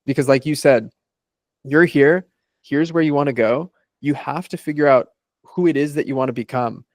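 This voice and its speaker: A man's voice: medium pitch, normal speed, a little mellow, dry recording, studio quality. A man, a life coach guest on a podcast interview, methodically laying out his process in numbered steps.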